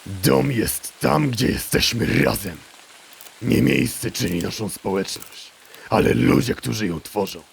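A deep, heavily creaking male draenei, calm and caring, speaks with steady wisdom. It is fantasy audio drama, with rain ambience and faint noise behind the voice. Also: takes it slowly, full character voice. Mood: thoughtful, reassuring. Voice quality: gravelly, low.

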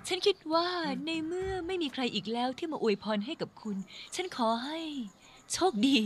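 A woman voicing a worried, sharp-tongued young female animal in a cartoon, doubtful and scolding. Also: very high-pitched, expressive, moderate pace, slight background noise.

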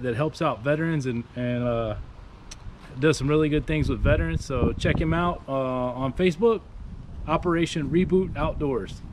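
Relaxed man hosting a DIY vlog. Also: on the high side, slight background noise. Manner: relaxed, many "uh" fillers, addresses viewers as guys. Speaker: DIY channel host. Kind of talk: casual DIY vlog talk. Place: outdoors in a fenced backyard. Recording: music under the voice.

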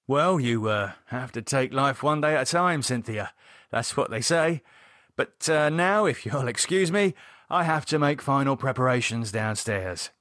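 A man's voice: mid-range voice, animated, measured pace, fairly bright tone, no echo, clean studio sound, voice pushed up. A man voicing a plain-spoken, uneasy British man in an audiobook, blunt but hesitantly polite. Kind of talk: audiobook character voice.

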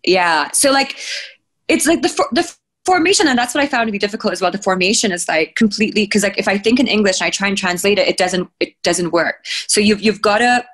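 A woman's voice: medium pitch, fast talker. Chatty woman from Sri Lanka on a video call. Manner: chatty, restarts often, animated. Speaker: podcast guest. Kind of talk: casual chat. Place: home room, indoors. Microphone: video call with headphones.

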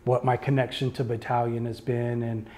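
A male artist answering audience questions at a gallery talk, speaking informally in a reflective, halting, earnest way. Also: mid-range voice, level tone, a little mellow. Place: indoor art gallery before a small seated audience.

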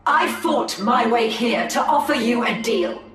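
An actress playing a proud, calm alien elf seer in a video game, with a solemn, deliberate, formal delivery. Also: female voice, a little high, a little hiss or hum. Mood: solemn, persuasive. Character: proud, calm female Eldar seer striking a bargain. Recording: echoey, distant, faint music behind.

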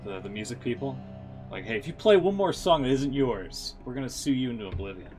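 A man hosting a live stream, chatting casually in rambling, halting asides. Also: mid-range voice, lively intonation, a little mellow, faint music behind.

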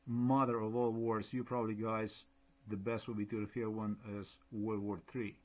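Slovenian man, low voice, halting non-native English, screen-share commentary. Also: little pitch movement, a little mellow. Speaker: blogger.

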